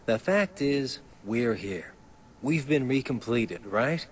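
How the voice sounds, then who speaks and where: A man's voice: mid-range voice, lively intonation, slow, breathy edge. An actor playing a brash, impatient young man in a video game, with a slangy, grumbling way of talking. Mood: exasperated.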